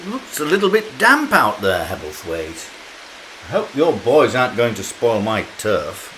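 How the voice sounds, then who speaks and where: An actor playing a smug, meddling stadium official in a radio play, dry and insinuating. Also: male voice, on the low side, huge pitch swings, measured pace, a bit bright, slight background noise, full character voice, low-quality recording. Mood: dry needling.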